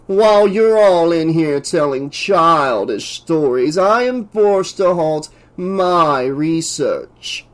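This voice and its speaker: A man voicing a pompous, demanding male scientist obsessed with his own genius, in a drawn-out, grandiose delivery for an audiobook story. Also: on the high side, lively intonation. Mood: imperious.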